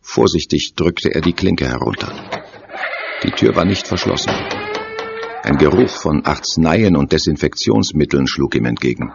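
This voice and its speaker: A man narrating a lurid horror radio play gravely and dramatically from a script. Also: extremely low pitch, lively intonation, moderate pace, background noise, full character voice. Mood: suspenseful. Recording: dull muffled audio over music.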